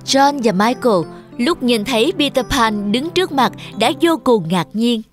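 A woman's voice: a little high, lively intonation, a little slow. A woman narrating a scripted fairy tale for children as the storyteller. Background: music.

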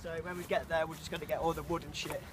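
A man's voice: fairly high pitch, flat delivery, very fast. A teenage boy talking outdoors into a phone on a camping trip, blunt and sweary. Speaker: camping friend. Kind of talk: casual chat. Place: outdoors, woods. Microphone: handheld phone. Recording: phone outdoors with background noise.

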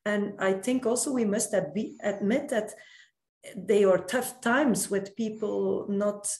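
A middle-aged woman speaking halting, non-native English as a guest in a panel discussion on a video call. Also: medium pitch, darkish tone, echoey room. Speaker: podcast guest, nurse.

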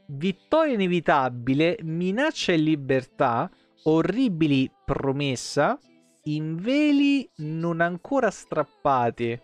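Higher-voiced man streaming a game. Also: very animated, takes it slowly, no echo. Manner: irreverent, sweary. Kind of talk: live game commentary, reading lore aloud with casual asides. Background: game music.

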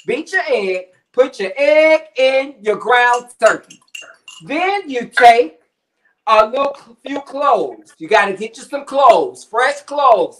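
A man's voice: extremely high pitch, a bit bright. A middle-aged man on a video call from his home kitchen, demonstrating a recipe in a playful way, full of slang and puns. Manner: jokey, drops slang and puns. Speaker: cooking show contestant. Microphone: webcam on video call.

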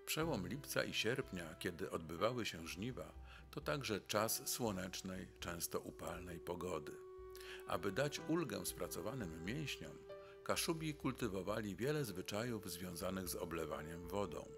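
Deep, gravelly male documentary narrator. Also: lively intonation, unhurried. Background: instrumental music.

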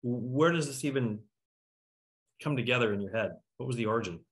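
A man's voice: mid-range voice, a little fast, rough recording. A middle-aged man hosting and moderating a talkback discussion over a video call. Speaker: host.

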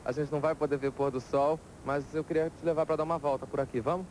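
A man's voice: a little high, little pitch movement, a little mellow, a little hiss or hum, poor audio. A young man with a Brazilian accent presenting and asking questions as a TV reporter outdoors on a beachfront, speaking upbeat into a handheld mic with music behind. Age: young adult. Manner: upbeat, brisk presenter delivery. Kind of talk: TV show interview and links.

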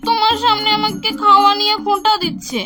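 A spoiled, scheming young wife in an animated folk tale. Her voice is extremely high and girlish, coy and whiny. Music is clearly audible behind her. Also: brisk. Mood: whiny complaining.

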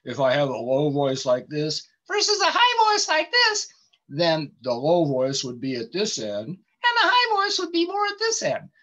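A man's voice: a little high, wildly swooping pitch, a little slow, bright, forceful tone. A man giving an informal online lecture over a video call, explaining things with many ums and restarts. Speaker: presenter giving a webinar talk. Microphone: computer mic on a video call. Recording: dull lo-fi call audio.

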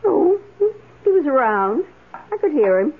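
A middle-aged schoolteacher in a radio drama, wry and wistful. Her pitch moves expressively, and the sound is a muffled old broadcast. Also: sing-song, unhurried, slight background noise, full character voice.

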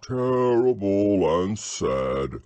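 A man voicing a humble, earnest, slow-witted bear in a storybook, with a plodding manner. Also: fairly low pitch, big pitch swings, a little mellow, dry recording, exaggerated voice. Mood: glum, earnest. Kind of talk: storybook character reading.

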